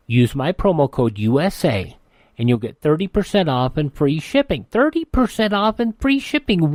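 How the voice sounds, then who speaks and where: A man's voice: a little high, a little mellow, no room sound. A man hosting a radio show, reading sponsor spots and announcements in a chatty, folksy way. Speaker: radio host.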